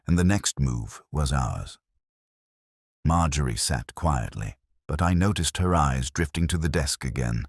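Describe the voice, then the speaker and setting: A man's voice: extremely low pitch, dry recording, studio quality. A man narrating a first-person hardboiled detective audiobook in a dramatic, wry storytelling manner.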